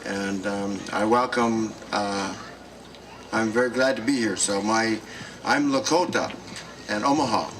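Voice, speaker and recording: Slow halting man, distant and noisy. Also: lowish voice, fairly bright tone. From South Dakota, United States. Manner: slow, many pauses and fillers. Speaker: speaker at a gathering. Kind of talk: halting public address. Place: outdoor gathering. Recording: distant mic with noise.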